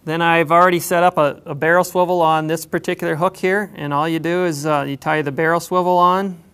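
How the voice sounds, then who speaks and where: A man explaining how to tie a drift fishing setup, in a plain, unhurried way with frequent pauses. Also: a little high, steady tone, a bit bright, clean tone. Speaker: fishing instructor. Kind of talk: instructional demonstration.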